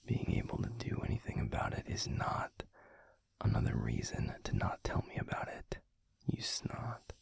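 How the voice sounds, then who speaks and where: Very deep, husky whispering man, tender boyfriend roleplay. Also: big pitch swings, no echo. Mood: gentle concern. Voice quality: breathy, husky, gravelly whisper. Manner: soothing, slow, gently coaxing. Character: tender protective male werewolf boyfriend, gently teasing. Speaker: audio roleplay voice actor. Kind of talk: intimate ASMR boyfriend roleplay.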